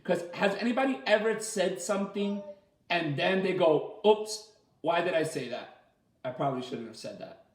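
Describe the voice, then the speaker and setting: A man leading a children's story time as a teacher, reading aloud and asking the listeners simple questions in an animated way. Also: high voice, moderate pace. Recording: roomy, echoey sound.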